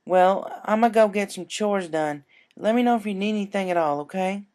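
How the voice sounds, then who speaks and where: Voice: medium pitch, moderate pace, darkish tone, voice pushed up, close to an everyday voice. A performer voicing an adult female farm pony, stern but caring, with folksy country phrasing, in a cartoon fan story. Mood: friendly.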